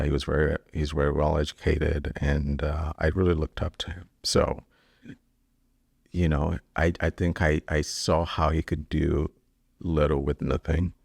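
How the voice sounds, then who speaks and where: A middle-aged man from Kenya, a business founder answering interview questions in a relaxed, rambling way with many fillers. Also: extremely low pitch, gravelly, slightly rough, dry recording, studio quality.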